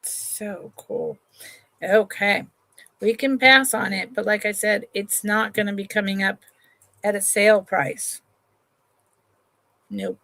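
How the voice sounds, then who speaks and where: Slow, relaxed woman hosting a live sale. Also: medium pitch, vocal fry, dry recording. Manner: chatty, unhurried, trails off and restarts sentences; affectionate to viewers, calls them honey and sweetheart. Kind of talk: slow, relaxed live-stream sales chat.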